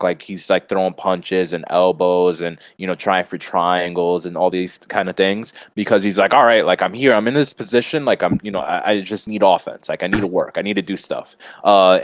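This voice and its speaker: A man's voice: low voice, dry recording. A man co-hosting a sports betting podcast, giving casual fight analysis in a rambling way, peppered with "you know" asides.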